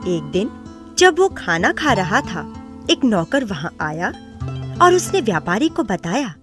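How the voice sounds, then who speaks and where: A woman narrates a children's story at a slow pace. Her intonation is animated and expressive, with faint music underneath. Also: mid-range voice.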